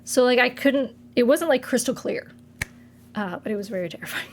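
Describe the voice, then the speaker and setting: A woman's voice: a little high, expressive, a little fast, slight background noise. A young woman from Massachusetts, chatting casually with her live chat from her apartment, giggling and trailing off mid-sentence. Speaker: streamer.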